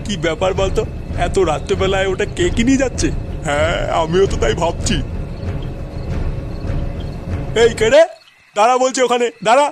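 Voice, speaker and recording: A man's voice: sits high, lively intonation, fast, music in the background. A man voicing a crude, bossy older village man in a comedy cartoon, swearing emphatically. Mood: suspicious. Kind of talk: foul-mouthed comedy cartoon dialogue.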